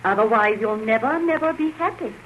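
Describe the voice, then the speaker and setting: A woman's voice: fairly high pitch, lively intonation, a little fast, natural voice. A woman playing a prim, moralizing auntie who admonishes in a 1930s radio drama style. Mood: scolding. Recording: muffled, noisy old radio broadcast.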